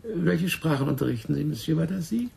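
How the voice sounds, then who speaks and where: A huge fat police official in a radio play. The voice is surprisingly thin and high for such bulk, hoarse and creaky, as if fragile. He questions slowly and cautiously. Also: animated, a little mellow. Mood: probing, careful. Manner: slow, careful questioning. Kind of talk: radio drama acting.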